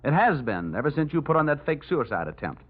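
A man's voice: fairly high pitch, very animated, fairly quick. A man playing a tough, wisecracking insurance investigator, narrating and talking in a punchy, sardonic old-time radio detective style. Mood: sardonic, firm. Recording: dull old radio recording, loud music.

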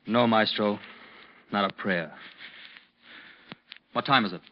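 An actor playing a young, weary prizefighter, earnest and plainspoken, in an old-time radio drama. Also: male voice, lowish voice, slow pace. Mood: terse, firm. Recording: noisy, dull vintage radio recording.

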